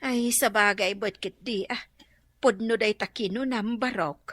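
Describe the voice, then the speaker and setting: A woman's voice: medium pitch, steady tone, a little slow, a bit bright, no room sound. A woman playing a disappointed but sympathetic older village auntie in a comedic radio drama, sighing, with an Ilocano accent.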